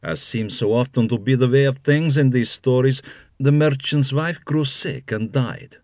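A man's voice: medium pitch, a little slow, darkish tone, no room sound, studio quality. A man narrating a scripted fairy tale for children as a storyteller, with wry asides.

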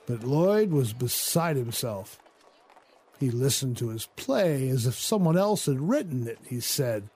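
A man's voice: medium pitch, big pitch swings, unhurried, darkish tone, breathy edge. A man playing an urbane, venomous theatre gossip with a precise, arch, needling delivery in a radio drama. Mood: sly needling. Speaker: voice actor in an audio drama.

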